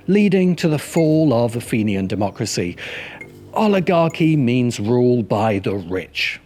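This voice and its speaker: Sardonic male narrator with animated pitch. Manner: sardonic, dry humour. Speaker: video essay host. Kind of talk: scripted video essay narration with wry asides.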